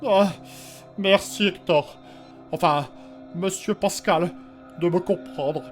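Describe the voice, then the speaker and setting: An actor playing a hesitant, apologetic subordinate eager to justify himself in a fantasy audio drama. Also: male voice, high voice, slow pace, faint music behind. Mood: servile.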